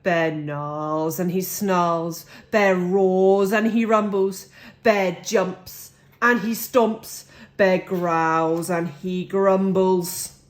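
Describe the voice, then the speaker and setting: Very slow, expressive woman reading a picture book. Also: fairly low pitch. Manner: deliberate, drawn-out, dramatic phrasing. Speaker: storybook reader. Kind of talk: expressive children's picture-book read-aloud.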